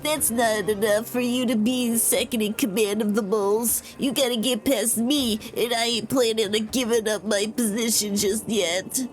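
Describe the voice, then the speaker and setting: A woman's voice: a little high, steady tone, moderate pace, few pauses, soft background music, natural voice. A woman playing an earnest, slightly cocky young boy in a video game, with an eager, childlike manner.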